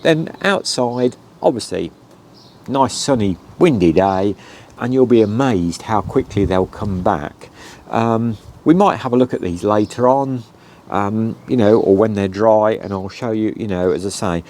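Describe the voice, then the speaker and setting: A middle-aged British man with a lowish voice, bunged up with hay fever, talks viewers through a chore in an easy, meandering way full of "um"s and "as I say". He is outdoors, with faint ambient noise behind him. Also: a little slow, a little mellow. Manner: rambling, with many "um"s and "as I say". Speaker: vlogger. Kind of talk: casual how-to talk.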